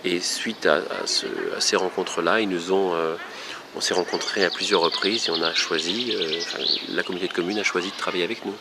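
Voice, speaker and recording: A man speaking for a theatre company, explaining its work in an interview with hesitant pauses. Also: sits low, fairly even intonation. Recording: loud noise, birdsong, clicks. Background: birdsong.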